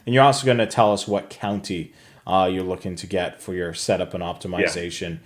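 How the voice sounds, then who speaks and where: A man's voice: deep voice, steady tone, fairly quick. Casual man co-hosting a live show. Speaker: co-host of a live radio scanner show. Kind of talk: casual live product talk.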